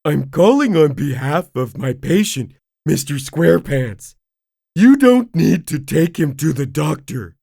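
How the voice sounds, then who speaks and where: Woman voicing dim starfish buddy: raised pitch, booming, slow, dopey, dry read. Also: expressive, vocal fry, mellow, husky, no echo, heavily acted. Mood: dopey, loud. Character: dim-witted friendly male starfish, loud.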